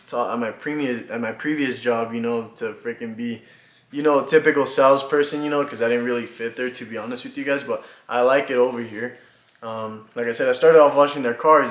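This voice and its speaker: Chill guy in his 20s from mexico, rambling vlog chat, lots of ums, roomy echo. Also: medium pitch. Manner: relaxed, rambling, many fillers and little laughs. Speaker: vlogger. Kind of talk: casual get-to-know-me chat. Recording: echoey room.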